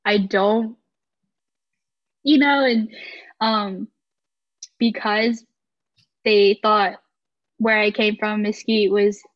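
A woman's voice: mid-range voice, fairly even intonation, very slow, pauses often. A young woman from the United States, speaking thoughtfully and hesitantly as a guest in a casual podcast conversation. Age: 20s. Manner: thoughtful, hesitant, many fillers. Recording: slightly muffled lo-fi audio.

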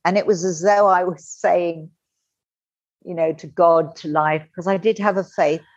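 A middle-aged woman telling her personal story as a podcast guest, candid and animated, acting out the voices she quotes. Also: on the low side, a little slow, dry recording. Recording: dull lo-fi dry sound.

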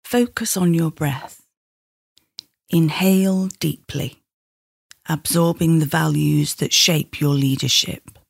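A middle-aged woman leading a guided meditation in a soothing, measured, instructing manner. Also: sits low, vocal fry, dry recording.

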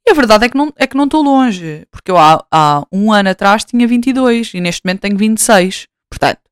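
A woman's voice: medium pitch, animated, brisk, clear, resonant tone, no echo, clean studio sound. A woman in her twenties with a European Portuguese accent, hosting a podcast in casual chat, rambling, swearing freely and mocking herself. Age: 20s. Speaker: podcast host.

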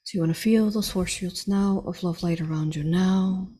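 Breathy woman leading guided meditation. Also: on the low side, normal speed, dark tone. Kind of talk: guided meditation, spiritual instruction.

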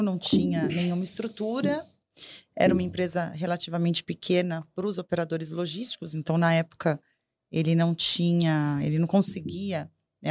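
A woman in sales chatting on a business podcast, telling a story in a lively way and correcting herself as she goes. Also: fairly low pitch. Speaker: sales partner. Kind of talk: casual podcast chat.

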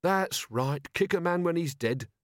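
A man's voice: on the high side, lively intonation, moderate pace, dry recording, pitched above the performer's own voice, natural voice. A sour zombie policeman, acted out by a male audiobook reader, forever aggrieved. He grumbles his complaints in a dry, close recording with no room around it. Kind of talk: audiobook character voice.

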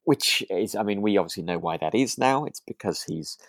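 Low, hesitant man, dry close sound. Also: expressive, fairly quick, no echo. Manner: hesitant, repeats himself as he thinks. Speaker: podcast co-host. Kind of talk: casual discussion of a radio drama.

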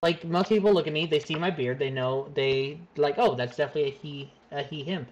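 A man with a higher-pitched voice who streams, talking hesitantly in a casual chat with many fillers. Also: brisk, muffled recording.

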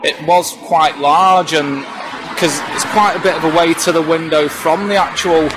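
A young man from England, a witness recounting his experience in a halting, hesitant way, outdoors on a residential street. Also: fairly high pitch, steady tone. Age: young adult. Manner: hesitant, halting, many fillers. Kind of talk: casual first-hand recounting. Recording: noisy outdoor ambience.

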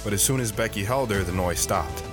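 A man's voice: on the low side, brisk, loud music behind the voice, natural voice. A man playing a devoted, reflective wandering father who tells his own story in an audio drama, earnest and sentimental. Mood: reflective, tender. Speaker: narrator.

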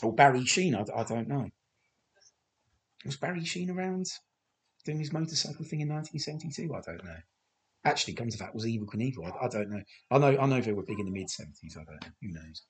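A man hosting a podcast, chatting through a TV commentary with a slightly croaky voice, rambling and hesitant with many fillers and restarts, self-deprecating. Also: medium pitch, lively intonation, fast. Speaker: podcast host. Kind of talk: casual film commentary.

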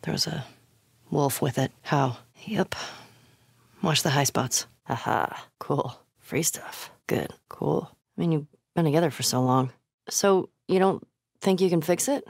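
A woman's voice: sits low, a little slow, creaky, slightly rough, no echo, clean studio sound, exaggerated voice. A young woman playing a tough, foul-mouthed, wry survivor in a video game, muttering in terse, conversational asides. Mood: wry, matter-of-fact. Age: young adult. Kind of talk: video game voice acting, naturalistic.

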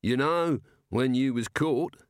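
A man's voice: medium pitch, slow pace, dry recording, voice pushed up, lightly acted. Man playing amateur ballad writer, eager, nosy, colloquial, comic storybook, dry sound. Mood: eager, placating. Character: nosy, obliging amateur ballad writer calling through window. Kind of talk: comic audiobook character voice.

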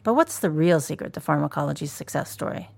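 An actress playing a composed public radio news host, presenting in a measured, formal way in an audio drama. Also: female voice, low voice, expressive, gravelly, darkish tone, full character voice. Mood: composed, inquisitive.

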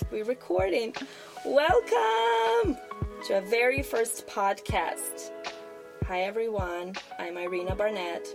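A woman's voice: fairly high pitch, expressive, takes it slowly, vocal fry, over music. A woman from Ukraine, co-hosting a podcast in casual chat, speaking earnestly and repeating phrases for emphasis. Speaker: podcast co-host.

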